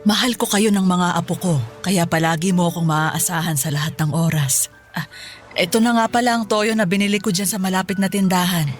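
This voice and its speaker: A woman's voice: lowish voice, fairly quick, faint music behind, lightly acted. Woman playing a loving, supportive elderly grandmother. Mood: affectionate. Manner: affectionate, reassuring. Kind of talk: Filipino radio drama acting.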